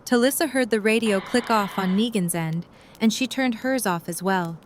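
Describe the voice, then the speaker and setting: A woman's voice: mid-range voice, moderate pace, a little hiss or hum. A woman narrating a scripted story in an audio drama.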